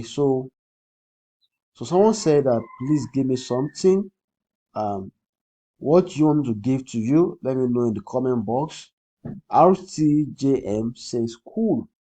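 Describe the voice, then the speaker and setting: A man with a Nigerian accent, a native Yoruba speaker, hosting a casual crypto livestream in a husky voice, repeating phrases and often checking in with "okay". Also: medium pitch, dark tone, poor audio. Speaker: crypto trading livestream host. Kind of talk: casual livestream commentary, answering comments.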